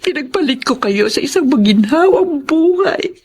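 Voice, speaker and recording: An actress playing a middle-aged, guilt-ridden Filipino mother in a radio drama, speaking haltingly and tearfully. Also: high voice, animated, a little mellow. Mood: anguished, remorseful. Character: remorseful mother confessing to her abandoned daughter.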